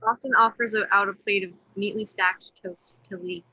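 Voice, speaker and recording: A woman's voice: medium pitch, steady tone, normal speed, pushed and bright, breathy edge, dry recording, highs cut off. A woman narrating a play, reading the stage directions as scripted narration.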